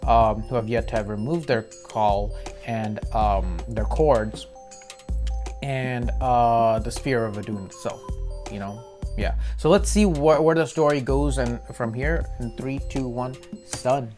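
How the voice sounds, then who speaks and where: A man's voice: mid-range voice, measured pace, a little mellow, soft background music. A man giving informal, rambling commentary as a reaction video host, often searching for words. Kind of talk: casual commentary on game cinematics.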